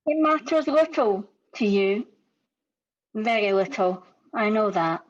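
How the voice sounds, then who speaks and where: A woman playing a sorrowful but resolute young woman in a radio-style drama read over a video call, measured and earnest. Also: mid-range voice, animated. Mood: sad, reproachful. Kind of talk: rehearsed radio-script drama reading. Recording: dull lo-fi video call audio.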